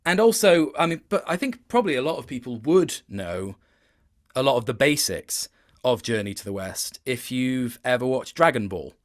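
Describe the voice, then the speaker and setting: A male voice actor talks in a relaxed interview setting. His voice sits at a medium pitch. He picks through his answers thoughtfully, hesitating and rewording along the way. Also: normal speed. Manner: thoughtful, hesitant, circling back to rephrase. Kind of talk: casual interview talk.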